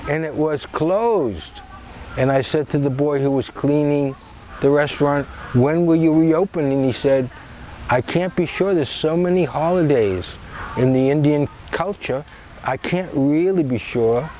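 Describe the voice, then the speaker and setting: A man's voice: mid-range voice, darkish tone, rough recording. Older Boston man, seventies, easygoing storyteller, wry asides, faint noise underneath. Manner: conversational, wry, with many "uh" pauses. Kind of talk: personal storytelling.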